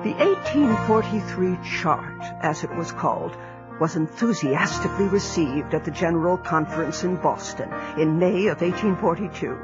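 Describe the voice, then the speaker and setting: A man giving measured scripted narration for a historical audio drama. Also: high voice. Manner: measured storytelling. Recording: dull lo-fi recording with music.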